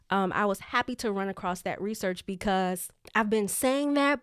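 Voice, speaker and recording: Woman coaching with deliberate, repeated emphasis. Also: fairly bright tone, clean tone, dry recording, studio quality. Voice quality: naturally high voice brought down to a lower tone. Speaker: leadership coach. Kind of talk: casual talk to camera.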